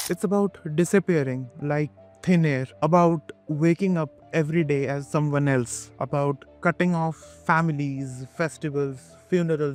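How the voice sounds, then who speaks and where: A man with an Indian accent narrating a scripted opinion video essay, emphatic and rhetorical, with dramatic pauses and repetition. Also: fairly high pitch, darkish tone. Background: background music.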